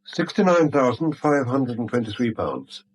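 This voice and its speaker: An actor playing an anxious, cornered bank official in a 1970s radio drama, speaking tersely and hesitantly. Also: male voice, mid-range voice, sing-song, normal speed, husky, rough recording. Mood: tense, protesting. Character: anxious, cornered male bank official, conspiring under pressure.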